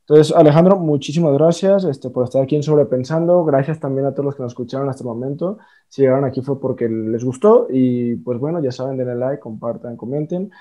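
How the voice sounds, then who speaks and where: A man's voice: mid-range voice, fairly quick, warm tone, muffled recording. A man hosting a casual podcast interview, friendly and a bit hesitant, full of fillers. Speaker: podcast host. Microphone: remote online call.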